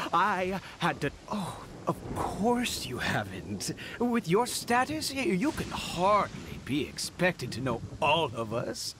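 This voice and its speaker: An actor playing a grief-stricken, fanatical nobleman in a video game, speaking theatrically and swinging between hushed and exultant delivery. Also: high-pitched, wildly swooping pitch, slow, few pauses, exaggerated voice. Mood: elated, sly. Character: grief-stricken, fanatical nobleman father devoted to a dark power. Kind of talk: dramatic video game voice acting. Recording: loud rain and thunder noise behind.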